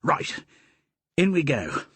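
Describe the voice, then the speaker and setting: A man voicing a bold, boyish young upper-class gentleman with a blustering, hearty manner. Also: medium pitch, very animated, moderate pace, husky, no echo, pitched above the performer's own voice.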